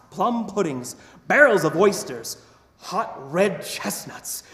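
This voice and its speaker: A man narrating a radio play as scripted dramatic storytelling, with theatrical, deliberate phrasing. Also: sits high. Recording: echoey, reverberant hall.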